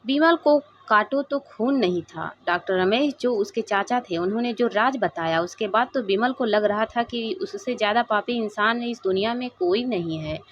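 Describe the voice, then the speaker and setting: A woman reading a sentimental moral story aloud in an expressive, dramatic way. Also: mid-range voice, normal speed, hardly any pauses. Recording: dull, lo-fi sound.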